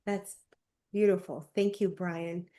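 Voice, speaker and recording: A woman's voice: fairly low pitch, steady tone, takes it slowly, dark tone. A woman with a Spanish accent hosting a small coaching group on a video call, encouraging and repeating her points with many fillers. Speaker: discussion group host.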